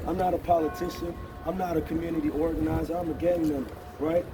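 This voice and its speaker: A man in his thirties speaks bluntly and passionately at an outdoor protest. His voice sits fairly high. The recording comes from some distance away, with street and traffic noise around him. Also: little pitch movement, quick pace. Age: 30s. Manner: blunt, repeats "you see what I'm saying". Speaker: protest organizer. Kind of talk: impassioned street speech.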